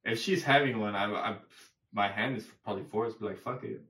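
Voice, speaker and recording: A man's voice: fairly low pitch, fairly quick, pushed and bright. A young man in casual, profane banter, arguing his side and restating his point. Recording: echoey room, far from mic.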